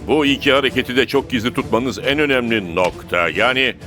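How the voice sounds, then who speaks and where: A man's voice: mid-range voice, brisk, over music, close to an everyday voice. A man voicing a firm, authoritative football coach in a cartoon dub. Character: stern male football coach, commanding and strategic. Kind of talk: dubbed cartoon acting.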